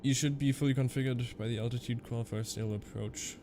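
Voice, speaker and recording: A man's voice: medium pitch, steady tone, fast, slight background noise. A young German man with a Canadian-German accent, chatting casually on a flight sim stream and answering his viewers. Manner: chatty, reads chat aloud and answers viewers. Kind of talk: casual live-stream commentary.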